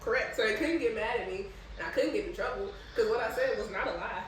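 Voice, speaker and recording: A woman's voice: a little high, fast talker. Casual American woman podcast host, informal storyteller, echo-heavy room, set back from the mic. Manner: animated retelling, acting out quoted dialogue; informal, swears freely. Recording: very echoey room, distant mic, faint noise.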